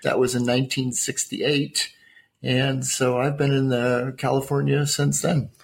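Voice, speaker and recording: A man's voice: medium pitch, flat delivery, measured pace, vocal fry, a little hiss or hum. An older man from the United States, sharing personal history as an interview guest. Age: older, 60s or 70s.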